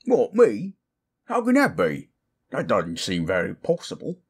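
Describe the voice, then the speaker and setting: Big dopey orc chief, husky, pitch jumping all over, fantasy roleplay. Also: male voice, wildly swooping pitch, heavily acted. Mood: baffled, amazed. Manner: slow-witted, blustery, incredulous. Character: dim, good-natured male orc chieftain, easily astonished. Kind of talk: improvised fantasy audio roleplay.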